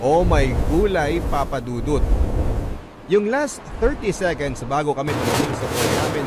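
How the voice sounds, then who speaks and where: A man's voice: mid-range voice, heavy background noise. A man narrating a first-person love story as a dramatic reading of a letter.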